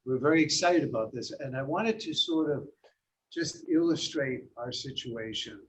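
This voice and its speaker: An American man from Massachusetts chairing a board meeting, explaining things informally in a halting, restarting way. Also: medium pitch, expressive. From Massachusetts, USA. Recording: muffled, lo-fi meeting audio with noise.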